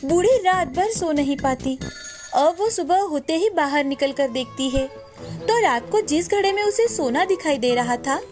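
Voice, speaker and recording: A woman's voice: high voice, animated, normal speed. A woman narrating a moral story in a scripted storytelling style. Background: music.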